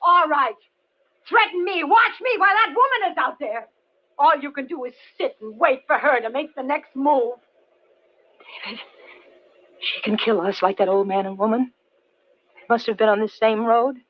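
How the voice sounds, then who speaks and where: A woman's voice: high voice, normal speed, pauses often. An actress playing a suspicious, defiant old woman in a 1940s radio thriller. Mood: accusing, wary. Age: older. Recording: dull old radio recording.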